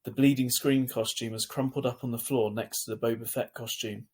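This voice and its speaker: A man narrates a children's novel aloud in the way of a teacher reading to pupils. His voice sits in a middle register and has a husky grain. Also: mid-range voice, steady tone, a little fast, a little mellow. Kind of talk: scripted storybook narration.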